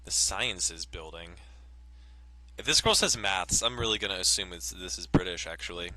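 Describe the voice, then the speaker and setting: A man's voice: deep voice, normal speed, pushed and bright, no room sound, poor audio. A man hosting a let's play, reading game text aloud and cracking crude jokes between lines. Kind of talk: casual gameplay commentary and reading aloud.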